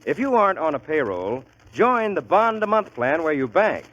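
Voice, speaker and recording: A man's voice: fairly high pitch, sing-song, normal speed, fairly bright tone. An American man announcing on 1940s radio, reading scripted copy in an emphatic, upbeat way, on an old muffled broadcast.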